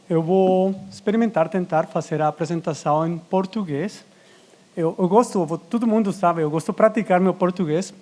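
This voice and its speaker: Man with high voice giving a halting talk. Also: a little mellow. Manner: halting, with frequent restarts and fillers in a second language. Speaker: conference speaker. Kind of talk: conference presentation. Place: conference stage.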